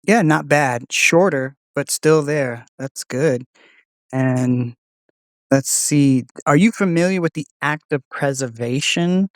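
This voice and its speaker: A man's voice: fairly high pitch, takes it slowly, dry recording. Casual man hosting a tech demo, dry sound. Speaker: host testing an AI voice assistant.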